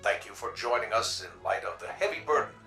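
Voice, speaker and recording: An actor playing a polished, formal city news broadcaster, probing guests in a video game radio interview. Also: male voice, on the low side, lively intonation, creaky, bright, forceful tone, echoey room, distant mic, faint music behind, full character voice. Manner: formal, measured announcer phrasing.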